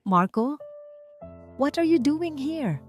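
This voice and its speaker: A scornful, haughty wealthy mother from a spoken storybook, put on by a woman narrator. Her lines ride well above the narrator's own pitch and come out louder and sharper. She sounds set apart, thinner in tone than the narration around her. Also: sing-song, slow, faint music behind, heavily acted. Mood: startled. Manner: sneering, shouting at others.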